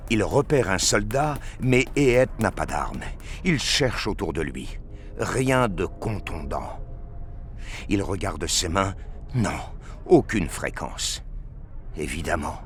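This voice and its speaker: Narrator, male: very low voice, unhurried, solemn scripted storytelling, noisy ambient bed underneath. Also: fairly bright tone.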